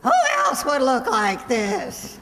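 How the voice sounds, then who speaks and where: Shrill, sly debtor's wife. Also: female voice, wildly swooping pitch, slow pace, bright, forceful tone, full character voice. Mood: brusque. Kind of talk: old-time radio comedy acting on stage. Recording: noisy echoey hall, long reverb.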